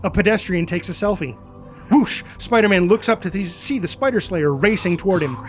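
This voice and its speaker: A man's voice: high voice, fairly quick. A man reading dramatic, punchy scripted narration and stage directions for a superhero action story. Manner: punchy, present-tense delivery with sound-effect words. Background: music.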